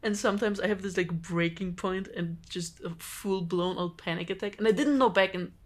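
A woman from Georgia, a native Georgian speaker, telling a personal story in a casual group chat with animated bursts and laughs between phrases. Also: mid-range voice, normal speed. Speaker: podcast co-host.

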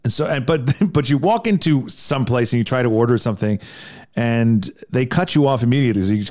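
A middle-aged man from Iceland, a songwriter talking in a relaxed, thoughtful interview with frequent restarts. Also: medium pitch, fairly quick. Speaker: songwriter guest. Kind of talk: casual interview chat.

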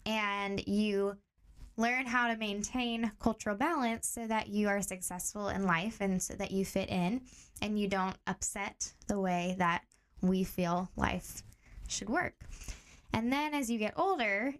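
Young woman from Tanzania, podcast guest, steady teacher-like explainer, mid pitch. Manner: calm, steady explaining with clear examples. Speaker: guest, director of training.

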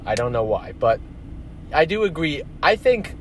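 A man's voice: on the high side, sing-song, normal speed, slight background noise. A man about twenty, co-hosting a casual podcast, rambling with lots of "like" and some swearing. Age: young adult. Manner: rambling, heavy on fillers and swearing. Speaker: podcast co-host. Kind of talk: casual chat.

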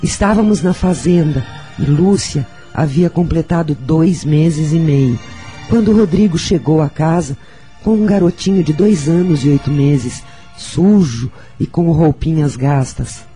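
Motherly Brazilian spirit woman, very dark voice. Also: low voice, a little slow. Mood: reminiscing. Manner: solemn, reminiscing. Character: gentle motherly woman spirit, reflective and compassionate. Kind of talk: radio drama monologue. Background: background music.

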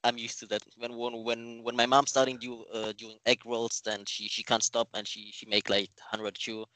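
A man in his twenties who grew up in Thailand talks in a relaxed interview chat, often stumbling, repeating words and reaching for fillers. His voice sits at an ordinary pitch and sounds dry and close, with almost no room around it. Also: normal speed, no room sound, rough recording. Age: 20s. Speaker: guest.

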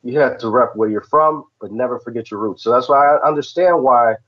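A man's voice: mid-range voice, brisk, highs cut off. A man from the southern United States chatting casually as a podcast co-host. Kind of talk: casual chat.

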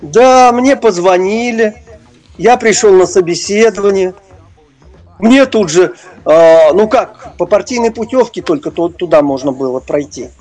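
An older man reminiscing in an interview, speaking haltingly and searching for his words. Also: high voice, darkish tone. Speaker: interview guest, retired prison-service officer and former stage performer.

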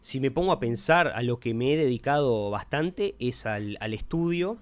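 A young man with a Uruguayan accent, co-hosting a casual podcast chat in a relaxed, rambling way with frequent fillers. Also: mid-range voice, moderate pace. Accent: Uruguayan Spanish. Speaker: podcast co-host.

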